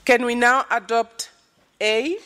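A woman's voice: fairly high pitch, fairly even intonation, bright, forceful tone. A woman chairing a formal committee session, calling items for adoption and asking for objections. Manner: slow, procedural, calling for objections. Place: large conference hall. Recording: long reverb of a large hall.

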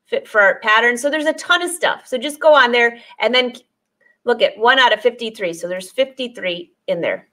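A woman's voice: a little high, normal speed, pushed and bright. A woman in her forties, a sewing teacher chatting casually on a live stream, reading viewer comments aloud and laughing often. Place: sewing studio with dress forms, indoors.

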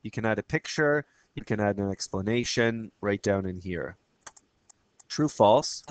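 A man who teaches, talking casually through a screen-recorded software tutorial, his voice a bit raspy from a cold, with plenty of fillers and restarts. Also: on the low side, animated, measured pace, dry recording. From Canada. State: has a cold, slightly raspy.

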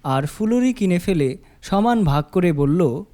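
A man's voice: sits high, expressive, measured pace. A man reading a scripted story as its narrator. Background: music.

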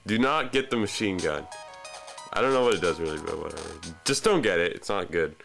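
A man's voice: low-pitched, lively intonation, a little fast, creaky, fairly bright tone. A young man giving casual, off-the-cuff video game commentary in a joking, self-deprecating manner. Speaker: let's play commentator. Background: video game music.

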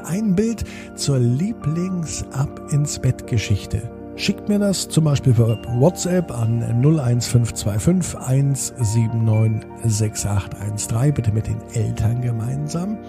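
A man's voice: mid-range voice, lively intonation, vocal fry, over music. A man hosting a children's podcast and narrating a bedtime story, speaking directly to young listeners. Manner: addresses young listeners directly, drawn-out repeated words.

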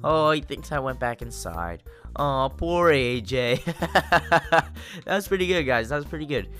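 Young man, congested cold voice, bright tone, excitable gameplay commentary, soft music underneath. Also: fairly high pitch, unhurried. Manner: excitable, jokey, talks to viewers. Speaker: gaming YouTuber.

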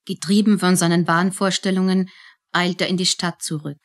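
A woman's voice: fairly low pitch, little pitch movement, moderate pace. A woman narrates a radio drama. She reads from a script, setting out each scene as the storyteller.

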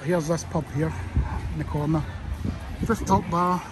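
A man's voice: fairly high pitch, fairly even intonation, a little slow. A Scottish man with a sore throat, chatting casually to viewers as he walks and films. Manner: chatty, rambling asides to viewers. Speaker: vlogger. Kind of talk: casual walking commentary. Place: busy city street outdoors. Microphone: handheld phone camera. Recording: outdoor street with crowd and traffic noise.

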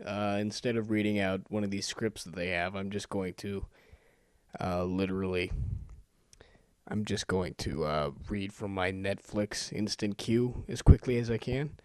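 A man's voice: lowish voice, steady tone, measured pace, a little mellow, clear, resonant tone, no echo, clean studio sound. A man making an amateur voice-over sample, speaking in an informal, joking, self-amused way. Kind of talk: casual chat and rapid-fire list reading.

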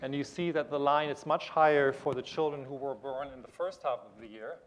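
A man's voice: mid-range voice, fairly quick, rough recording. A man in his thirties giving a conference keynote lecture in a large auditorium on a lapel mic, explaining with frequent restarts and fillers. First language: German. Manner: gestures while pacing the stage. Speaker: keynote speaker. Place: large auditorium stage with audience.

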